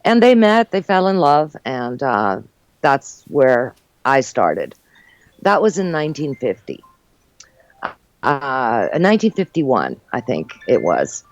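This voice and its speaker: A woman's voice: rumbling low, wildly swooping pitch, slow pace, dry recording. An older woman, born in Uruguay and raised in New Jersey and New York, telling her life story as an interview guest in casual chat, laughing often. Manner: warm, laughs often, with fillers.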